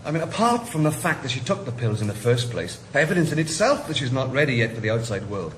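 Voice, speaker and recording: A man's voice: mid-range voice, big pitch swings, quick pace, reverberant, noisy background, close to an everyday voice. A man playing a detached, probing rehab doctor in a radio drama. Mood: clinical. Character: clinical male rehab doctor, cool and pointed.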